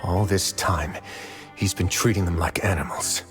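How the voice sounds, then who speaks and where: A man plays a shrewd duke who rules a fortress in a video game. His low voice is composed and measured, with an air of command. Faint music plays behind him. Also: steady tone, close to an everyday voice. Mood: calm, analytical.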